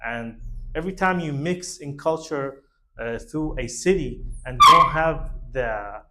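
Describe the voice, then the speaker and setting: A man giving an informal explanatory monologue as a show host, speaking haltingly in rambling phrases with grammar. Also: on the high side, very noisy. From Middle East. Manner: halting, rambling, with broken phrasing and non-native English grammar. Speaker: host of a concept talk show.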